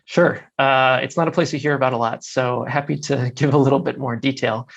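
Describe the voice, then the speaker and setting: A man's voice: medium pitch, fairly even intonation, fairly quick, gravelly, muffled recording. A man in his thirties from Maryland, a guest author talking thoughtfully in a conversation, with frequent fillers and repeated words. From United States (Maryland). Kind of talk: interview conversation.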